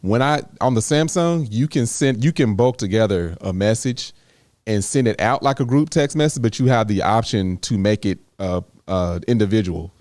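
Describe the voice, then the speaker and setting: A man's voice: on the low side, normal speed, darkish tone, dry recording. A man co-hosting a podcast in casual, plainspoken chat, laid-back and teasing. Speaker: podcast co-host.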